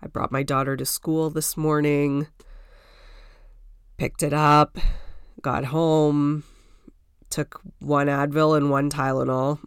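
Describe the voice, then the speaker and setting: A woman's voice: low voice, little pitch movement, takes it slowly, long pauses, clear, resonant tone, dry recording, studio quality. A woman hosting a solo podcast from home, congested from a cold, chatting in a rambling way with lots of "like" and "you know". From Canada. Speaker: podcast host. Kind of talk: casual solo podcast chat.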